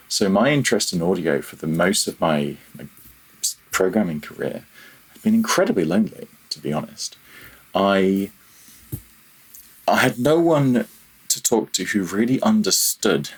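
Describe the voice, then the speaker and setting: Very low, husky man, reflective guest. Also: poor audio. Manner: halting, self-deprecating. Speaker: podcast guest, freelance audio programmer. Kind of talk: reflective casual interview talk.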